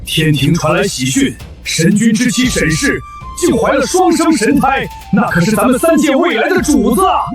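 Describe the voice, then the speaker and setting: An actor playing a boisterous dragon king who barks excited orders in a loud, bossy way. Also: extremely high pitch, normal speed. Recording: distant and echoey under loud music.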